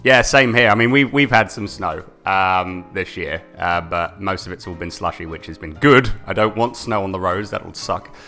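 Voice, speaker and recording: A young British man co-hosting a casual live gaming stream, chatty and quick to laugh, often repeating words. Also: sits low, bright, forceful tone, soft background music. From United Kingdom. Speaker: co-host.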